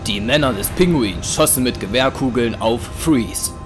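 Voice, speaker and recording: A man's voice: medium pitch, expressive, moderate pace. A man narrating a scripted audio drama as storyteller. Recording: background music under narration.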